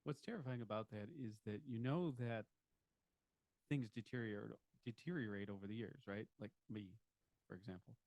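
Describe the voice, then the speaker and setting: A man's voice: fairly low pitch, normal speed, darkish tone, no echo, rough recording. A man co-hosting a casual podcast chat, rambling and joking as he talks, often restarting his sentences. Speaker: podcast co-host. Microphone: home podcast mic, video recorded.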